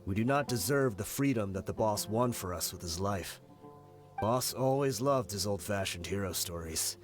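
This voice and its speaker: A man acts a veteran desert mercenary leader in a video game. He is grave and burdened by guilt, speaking solemnly in a low register with music clearly behind him. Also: expressive, normal speed, close to an everyday voice. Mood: resigned, remorseful. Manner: solemn storytelling.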